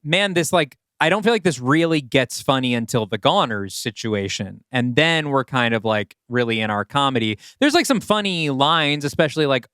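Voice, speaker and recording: A man's voice: mid-range voice, sing-song, moderate pace, hardly any pauses, a bit bright, smooth, no room sound, clean studio sound. A man co-hosting a podcast in casual, rambling, self-doubting chat as a writer. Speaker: podcast co-host and writer of the story discussed.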